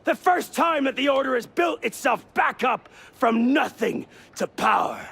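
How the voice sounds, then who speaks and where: An actor playing a bitter, fanatical older assassin in a video game, speaking in a gravelly, husky voice with grand, impassioned intensity. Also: male voice, a little high, sing-song, slow pace, full character voice. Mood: impassioned, furious. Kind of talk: video game dramatic acting.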